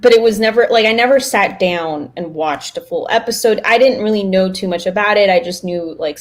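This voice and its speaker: Mid-pitched woman in casual podcast chat. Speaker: podcast co-host.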